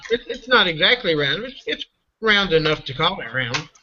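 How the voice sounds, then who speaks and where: A man in a casual online voice chat, calm, amused and teasing. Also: on the high side, moderate pace, dull audio, slight background noise.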